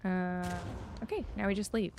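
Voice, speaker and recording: A woman's voice: mid-range voice, fairly even intonation, normal speed, noisy background. A woman chats casually while live streaming a game, thinking aloud in a rambling, self-deprecating way.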